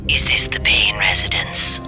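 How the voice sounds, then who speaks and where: Whispery, sinister phone caller. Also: male voice, low-pitched, level tone, measured pace. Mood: coldly menacing. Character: sinister cold anonymous caller on the telephone. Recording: very loud music behind.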